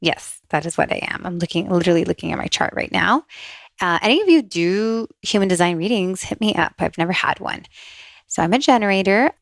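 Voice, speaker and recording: A woman's voice: lowish voice, gravelly, no echo. A woman hosting her own podcast in a chatty, upbeat way, often laughing between thoughts. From USA, New Jersey born, raised in Miami. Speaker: podcast host and intuitive reader. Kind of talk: casual solo podcast chat.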